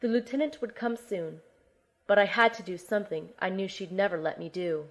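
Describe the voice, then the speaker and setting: A woman's voice: medium pitch, fairly quick, close to an everyday voice. A young woman playing a determined field medic and operative, narrating her mission in the first person for an audio drama. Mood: tense, reflective.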